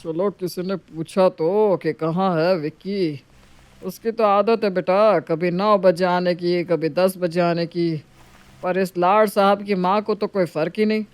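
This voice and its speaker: A man's voice: high-pitched, steady tone, unhurried, smooth, no echo. A man playing a grumbling middle-aged father-in-law in a story, with a sarcastic drawl. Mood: sardonic.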